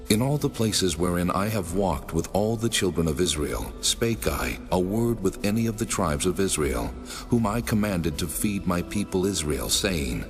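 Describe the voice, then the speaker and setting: A man voicing the Lord God in a dramatized scripture reading, solemn, measured and authoritative. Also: low voice. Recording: long cavernous reverb, music underneath. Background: music.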